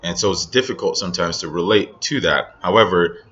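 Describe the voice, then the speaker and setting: Deep-voiced man with an American accent. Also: a bit bright, muffled recording, a little hiss or hum. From Nigeria. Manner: reflective, many ums and pauses. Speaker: vlogger. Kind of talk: casual personal vlog.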